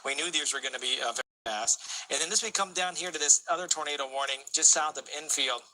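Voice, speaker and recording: A man's voice: fairly high pitch, fast talker, pushed and bright, a little hiss or hum, low-quality recording. An American man working as a TV meteorologist, giving live unscripted severe weather commentary and explaining as he thinks aloud.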